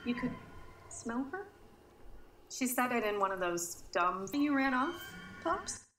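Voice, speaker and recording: An actress as an edgy woman out searching with her dog in an audio drama. Her voice is high, bright and unhurried, with halting, coaxing talk. The recording is roomy with faint noise. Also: sing-song, heavily acted. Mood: wistful, hurt. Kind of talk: naturalistic audio drama acting. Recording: noisy, roomy dialogue recording.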